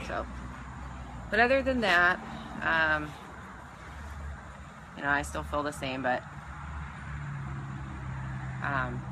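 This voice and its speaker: A woman's voice: low-pitched, background noise. A woman chatting casually with livestream viewers from her backyard, teasing her partner. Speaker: livestream co-host.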